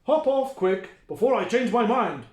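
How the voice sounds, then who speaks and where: A man's voice: very high-pitched, animated, pitched above the performer's own voice. A man voicing a grumpy, boastful storybook troll who bullies and roars his words out emphatically. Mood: grudging. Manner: roaring, drawn-out, emphatic delivery. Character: grumpy, boastful male bridge troll, loud and bullying. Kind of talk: children's storybook character voice. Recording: roomy, echoing indoor sound.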